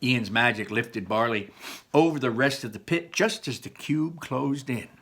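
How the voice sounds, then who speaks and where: A man's voice: medium pitch, unhurried, fairly bright tone, breathy edge. A man reading aloud to children as a storyteller, dramatic and emphatic, with simple explanatory asides.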